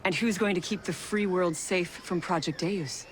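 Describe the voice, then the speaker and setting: A man's voice: high-pitched, normal speed, a bit bright, slight background noise. An actor playing an indignant male scientist in a video game cutscene, accusing and refusing.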